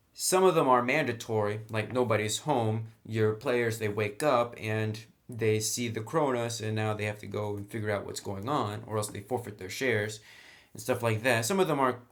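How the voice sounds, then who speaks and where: A man in a chatty, enthusiastic style, reviewing a tabletop roleplaying game. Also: on the low side. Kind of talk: casual review talk.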